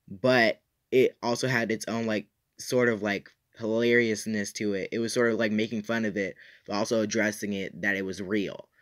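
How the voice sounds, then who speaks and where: A man talking casually to viewers as an enthusiastic, opinionated reviewer who leans on emphatic repetition. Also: lowish voice. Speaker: YouTube reviewer, host.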